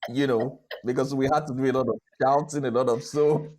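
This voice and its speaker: A man, a voice actor, chatting casually on a panel, halting and laughing as he talks. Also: mid-range voice, fairly even intonation, crowd noise. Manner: halting, laughing while talking.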